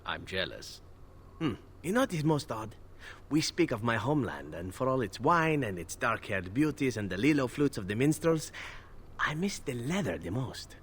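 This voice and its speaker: An actor playing a charming, flirtatious male elf assassin in a video game, with a smooth, teasing delivery. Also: medium pitch, big pitch swings, normal speed, close to an everyday voice. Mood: wistful. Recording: game audio with faint noise.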